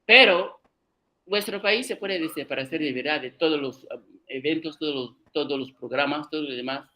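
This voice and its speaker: A man's voice: on the high side, normal speed, sharp tone. A man from Equatorial Guinea, whose first language is an African one, gives an unscripted lecture at a cultural conference, arguing his points emphatically and speaking straight to the audience. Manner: emphatic, argumentative, addresses the audience directly.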